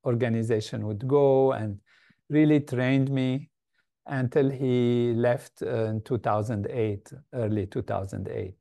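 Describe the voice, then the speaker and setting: Older man from Lebanon, interview guest, thoughtful and measured, warm low-key tone. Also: gravelly. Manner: measured, thoughtful, with frequent pauses and fillers. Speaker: guest, meditation organization leader. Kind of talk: reflective interview answers.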